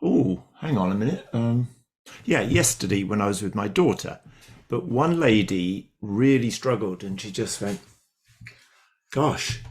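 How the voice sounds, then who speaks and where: A man's voice: fairly low pitch, lively intonation, unhurried, a little mellow. A man taking part in an online workshop over a video call, speaking earnestly and directly. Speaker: workshop participant. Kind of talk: casual personal sharing.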